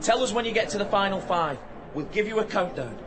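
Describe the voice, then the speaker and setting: An actor playing an excitable, chatty event coordinator with an eager, matey, showy manner in a radio drama. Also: male voice, sits high, fairly quick. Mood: excited. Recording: echoey, distant, noisy crowd behind.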